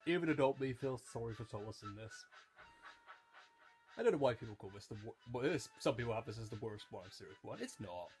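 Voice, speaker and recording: A man's voice: medium pitch, lively intonation, fast talker, a little mellow, soft background music. A man of about twenty giving casual, rambling, opinionated commentary on a show as he watches it, often breaking off mid-thought. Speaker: YouTube commentator on a children's TV series. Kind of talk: casual rewatch commentary, off the cuff.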